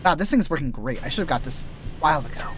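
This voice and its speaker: A man with a fairly high, slightly raspy voice rambles casually during a game stream, trailing off and restarting his sentences. His voice sounds worn from recent voice work. A faint hiss sits under the recording. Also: expressive, fast, fairly bright tone. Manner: chatty, loose, trailing restarts and asides. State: tired voice from lots of voice work. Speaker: VTuber streamer. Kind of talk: casual rambling while gaming.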